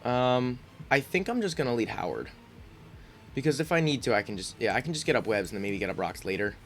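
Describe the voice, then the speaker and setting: A man's voice: medium pitch, big pitch swings, quick pace, slight background noise. Congested man thinking aloud over a game. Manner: thinks aloud, frequent ums and restarts. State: congested, sniffling. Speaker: gaming YouTuber. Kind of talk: casual gameplay commentary.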